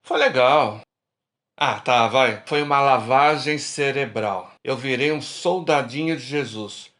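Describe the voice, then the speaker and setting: A man's voice: medium pitch, slow pace, a bit bright. A man playing a cautious but teasing middle-aged old friend in a radio drama. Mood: confessional.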